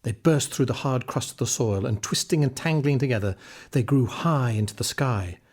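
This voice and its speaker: Husky male children's storyteller. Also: mid-range voice, moderate pace, darkish tone. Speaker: audiobook narrator. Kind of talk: expressive scripted storytelling for children.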